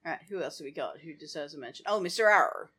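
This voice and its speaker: Lively woman chatting on a podcast. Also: low-pitched, racing, slightly rough. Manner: lively, laughs easily. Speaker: podcast co-host. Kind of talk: casual film discussion.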